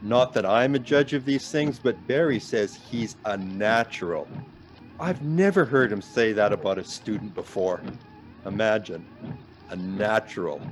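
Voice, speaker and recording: A man's voice: fairly low pitch, a little slow, slight background noise, close to an everyday voice. An actor in a radio play voicing an anxious, self-deprecating man stranded in a snowstorm. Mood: wistful, wry. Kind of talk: naturalistic radio play acting.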